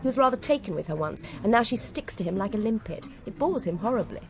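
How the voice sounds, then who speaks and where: A young upper-class English widow of the manor played by an actress speaks in a medium-pitched voice. She is cool, dry and sharp-tongued, with clipped, frank delivery in radio drama style. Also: expressive, fast, lightly acted. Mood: dry, irritated.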